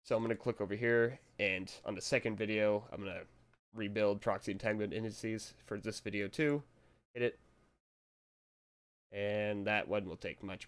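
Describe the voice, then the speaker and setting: A young man recording a casual software tutorial, talking offhand and a little hoarse from a cold. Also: on the low side. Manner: rambling, offhand, chuckles to himself. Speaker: tutorial maker.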